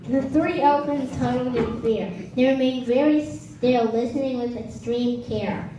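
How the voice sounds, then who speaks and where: A woman's voice: sits high, measured pace. A woman reading a scripted children's story as narrator. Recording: echoey room, distant mic, faint noise.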